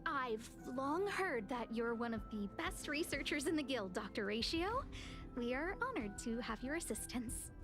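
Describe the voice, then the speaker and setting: An actress playing a composed, formal and thoughtful station officer in a video game, speaking politely. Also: female voice, high voice, very animated. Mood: composed, serious. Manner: polite, measured. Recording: music under the voice.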